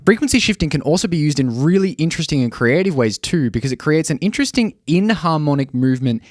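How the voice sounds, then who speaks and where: A man giving a measured, clearly explained tutorial narration as a music production tutor. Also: fairly high pitch, expressive.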